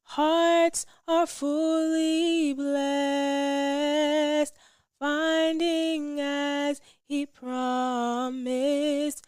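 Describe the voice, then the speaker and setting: A woman's voice: high voice, little pitch movement, extremely slow, a little mellow, no echo, studio quality, soft background music. A woman singing a hymn. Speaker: hymn singer.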